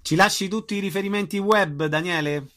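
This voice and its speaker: A man's voice: sits high, brisk, bright, forceful tone. A man working as an interviewer, putting questions in a conversational style.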